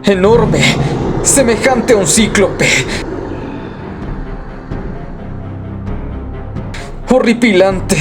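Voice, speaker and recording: A man's voice: sits high, slow pace. Man acting haunted horror narrator over prominent music. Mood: horrified awe. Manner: solemn, theatrical first-person storytelling. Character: tormented, haunted male narrator sliding into madness. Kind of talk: dramatic horror radio play narration.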